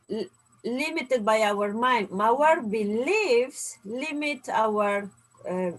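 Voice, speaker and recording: A woman's voice: on the high side, low-quality recording. A woman who grew up in Serbia and Peru, telling stories animatedly in casual conversation. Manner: storytelling, animated, laughs often. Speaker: co-host, inventor.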